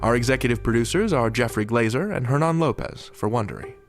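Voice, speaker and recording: A man's voice: mid-range voice, quick pace, faint music behind. A man hosting a drama podcast, reading scripted teasers and outro and addressing listeners directly with teasing questions. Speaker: host.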